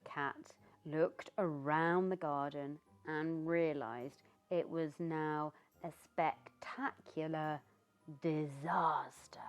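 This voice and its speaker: A British woman reading a children's story aloud in an expressive, theatrical way, with asides to the young listener. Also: on the low side. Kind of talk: animated read-aloud narration for young children. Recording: dull lo-fi sound with background music. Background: music.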